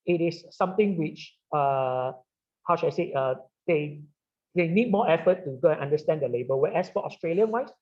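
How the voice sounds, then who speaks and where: A middle-aged man with a Singaporean English accent and a slightly high voice, speaking on a webinar panel. He pauses on "uh" a great deal and checks in with "right?" as he explains. The audio is dull and lo-fi, like a video call. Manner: halting, many "uh" fillers and "right?" tags. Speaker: wine retailer and sommelier.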